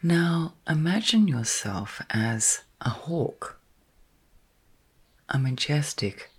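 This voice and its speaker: Very slow, breathy woman guiding meditation. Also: low voice, lively intonation. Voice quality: soft, breathy, partly whispered. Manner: gentle, soothing, long pauses between short phrases. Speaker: meditation guide. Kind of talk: guided sleep meditation.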